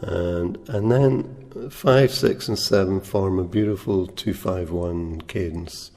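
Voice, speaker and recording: A soft-spoken man teaching a guitar lesson, unhurried, pausing often with ums and uhs. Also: low-pitched. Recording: reverberant room with guitar playing.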